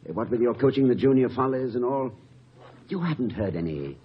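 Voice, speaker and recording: An actor playing an anxious, modest college president in a 1950 radio comedy, stammering and courtly. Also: male voice, medium pitch, expressive, brisk, slightly rough. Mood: sheepish, hesitant. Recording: muffled, noisy old radio recording.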